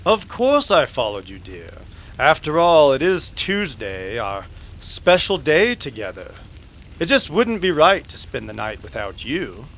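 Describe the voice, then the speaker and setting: An actor playing a creepy, possessive man in an old-time western radio drama, speaking in a slow, cloying, theatrical way. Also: fairly high pitch, sing-song, a bit bright, heavily acted. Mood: oily, menacing. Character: creepy, possessive older male saloon regular stalking a woman.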